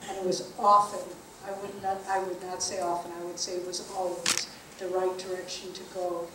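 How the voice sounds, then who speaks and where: A woman's voice: fairly low pitch, little pitch movement, sharp tone, a little hiss or hum. Earnest woman teacher in echoing hall. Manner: measured and earnest, slowing on key phrases. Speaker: spiritual teacher. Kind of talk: spiritual talk to an audience. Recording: echoey room from a distant mic.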